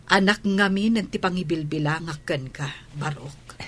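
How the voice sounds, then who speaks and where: A woman's voice: deep voice, moderate pace, fairly bright tone. A woman with a Filipino accent playing a devoted, motherly household nanny in a radio drama. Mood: affectionate.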